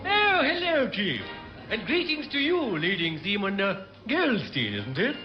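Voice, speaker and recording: Very high-voiced, pompous genial padre. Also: male voice, wildly swooping pitch, unhurried, over music, heavily acted. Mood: jovial. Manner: flowery, hesitant, chuckling, genteel. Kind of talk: classic British radio sitcom acting. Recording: noisy, dull old radio recording.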